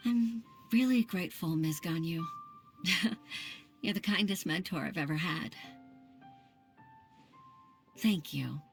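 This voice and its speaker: An earnest, humble middle-aged secretary and mother, with a lower voice for a woman. She talks warmly, sometimes halting as she gathers her words. Faint game music plays behind her. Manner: earnest, warm, a little hesitant. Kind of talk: video game voice acting. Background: game background music.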